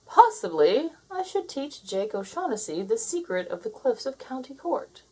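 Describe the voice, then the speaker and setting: Woman as a wise, husky old owl. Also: on the high side, very animated, moderate pace, darkish tone, voice pushed up. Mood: thoughtful. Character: wise, solemn old male owl, patient mentor.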